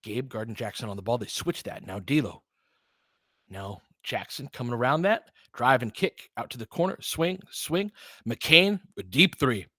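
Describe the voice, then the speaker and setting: A man's voice: mid-range voice, expressive, measured pace, pushed and bright, no room sound, clean studio sound. A man giving informal live basketball play-by-play as a partisan commentator who urges on the home team.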